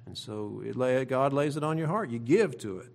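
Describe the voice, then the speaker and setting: Middle aged preacher guy w an oklahoma accent, plain spoken and folksy sermon, mid pitched voice, gets emphatic. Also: expressive, normal speed, vocal fry, a little mellow. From Oklahoma, United States. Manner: emphatic, with rhetorical questions. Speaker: pastor. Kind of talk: sermon to own congregation.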